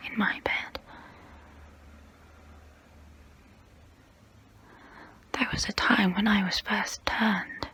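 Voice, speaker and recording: A woman playing a centuries-old female vampire, guilt-ridden and gentle yet predatory, in an intimate, breathy, halting ASMR role-play whisper. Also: medium pitch. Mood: brooding, confessional. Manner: slow, halting, intimate, soothing. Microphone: close-up microphone.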